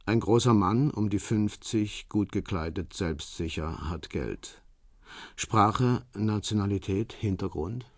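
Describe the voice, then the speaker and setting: A shady middle-aged Russian ex-soldier in a crime radio play. He speaks very low and husky, in clipped, guarded, businesslike phrases, close and dry. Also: slow pace, dry recording. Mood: curt, businesslike. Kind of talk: radio drama acting.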